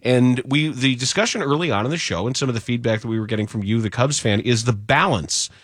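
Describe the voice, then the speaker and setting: A man's voice: medium pitch, fast talker, fairly bright tone, dry recording. Man, sports radio host, casual banter, joking, dry studio sound. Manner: joking, laughs at his own stories, trails off and restarts. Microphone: studio microphone.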